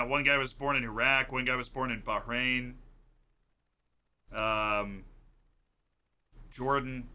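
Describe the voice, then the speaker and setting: A man's voice: medium pitch, bright, forceful tone, clear, resonant tone. A man hosting a casual live stream, quizzing his viewers in a playful, teasing, emphatic way.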